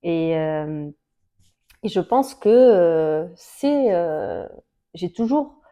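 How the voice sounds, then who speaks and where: A woman in her thirties from Portugal, whose first language is Portuguese, speaks to the camera as a teacher. Her voice sits a little low and sounds mellow and warm. She talks in a hesitant way, full of fillers and restarts. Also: animated, drawn-out words, muffled recording. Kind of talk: personal talk to camera.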